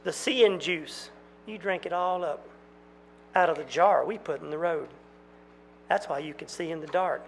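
Twin country girls with a drawl, quiet and reproachful, never raising their voices, as a male storyteller puts them on. The voice is low-key and a little gravelly, slightly high for a man. A faint hum runs under the reading. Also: a little slow, long pauses.

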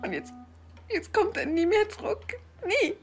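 A woman's voice: on the high side, steady tone, brisk, a little mellow, natural voice. A woman playing a shocked, grieving farmer's widow in a TV crime series, sounding tearful. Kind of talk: TV crime comedy acting.

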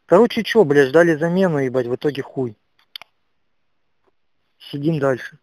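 Grumbling, swearing soldier, dry phone call. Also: male voice, on the high side, normal speed, a little mellow, no room sound. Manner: grumbling, profane. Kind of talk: casual phone chat with heavy swearing.

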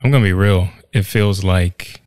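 Deep-voiced young man in laid-back banter. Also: moderate pace, a little mellow, dry recording. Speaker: podcast co-host. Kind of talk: casual chat. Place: home living room by a staircase. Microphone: studio mic on a desk stand, headphones on.

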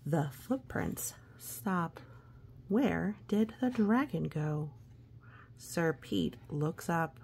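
A woman reading a children's storybook aloud expressively, with gentle asides and hushed, suspenseful phrasing. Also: on the low side, vocal fry, a little hiss or hum. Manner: expressive, hushed suspense, often dropping to a whisper. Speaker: storybook reader.

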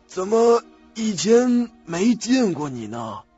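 A man plays an old graveyard keeper in an audio drama, inquisitive and unhurried. His voice sits fairly high for a man. The recording is dull, with music underneath. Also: sing-song, warm tone, husky, exaggerated voice. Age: older. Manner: slow, questioning. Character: old male cemetery keeper, slow and inquisitive. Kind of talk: radio drama acting.

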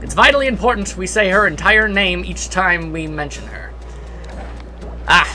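A man with a high, bright voice gives casual video game commentary. He is sarcastic and playfully grandiose, with game music behind him. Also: moderate pace. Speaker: let's play commentator.

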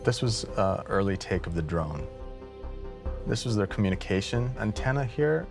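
Deep, creaky-voiced man. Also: expressive, normal speed. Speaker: creature designer. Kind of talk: behind-the-scenes interview. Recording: music playing behind the voice.

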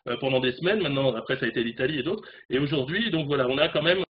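A man in his forties reporting as a correspondent on a remote news discussion, animated, speaking from a room at home over a webcam. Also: medium pitch. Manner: fast, animated, talks with hands. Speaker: correspondent in South Korea. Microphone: video call webcam. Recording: dull video call audio, faint noise.

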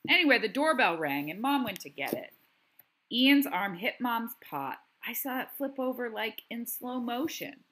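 A woman's voice: on the high side, lively intonation, normal speed, fairly bright tone, voice pushed up. A woman voicing a friendly but wounded young schoolgirl in a storybook, earnest and confiding.

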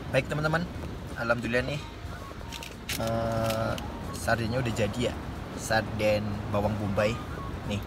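A man's voice: fairly low pitch, fairly even intonation, measured pace, pauses often. A man in his twenties from Indonesia, chatting casually to camera as a solo hiking vlogger inside a small tent. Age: 20s. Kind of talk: casual vlog chat to camera. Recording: clear background noise, outdoor ambience.

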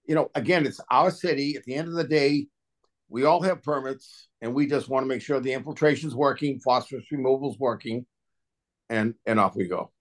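A man's voice: mid-range voice, a little fast. A man speaking as an agency official at a public meeting over a video call, explaining technical matters in plain, colloquial terms. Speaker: water and sewer agency official at a hearing.

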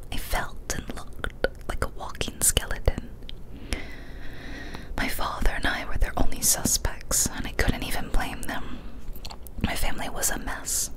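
A young woman narrates a scary story in a hushed ASMR whisper. Her delivery is breathy and unvoiced throughout, with slow, quiet phrasing. She speaks very close to a studio microphone in a small indoor room. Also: low-quality recording. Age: young adult. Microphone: large studio mic with foam cover, very close.

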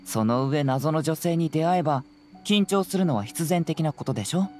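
A man voicing a youthful, confident detective in an anime game, speaking in a measured, analytical, explanatory way. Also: fairly high pitch, lively intonation, darkish tone, close to an everyday voice. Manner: measured, explanatory, self-assured. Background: faint background music.

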